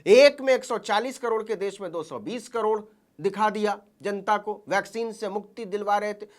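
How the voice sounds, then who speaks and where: A woman's voice: medium pitch, normal speed. A woman delivering satirical political commentary as a news commentator, with a mocking, theatrical delivery.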